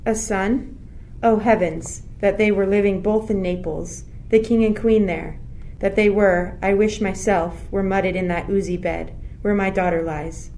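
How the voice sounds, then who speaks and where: A woman plays a grieving royal mother in a Shakespeare radio play. Her voice sits at middle pitch, solemn, formal and declamatory, over faint ambient noise. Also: fairly even intonation, measured pace, mellow, close to an everyday voice. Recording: noisy recording with ambient sound texture.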